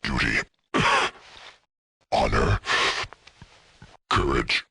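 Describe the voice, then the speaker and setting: An actor playing a huge, brutal government enforcer in a video game, growling with slow, gravelly, contemptuous menace. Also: male voice, extremely low pitch, expressive, pushed and bright, slight background noise, full character voice. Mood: dying defiance. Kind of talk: scripted video game voice acting.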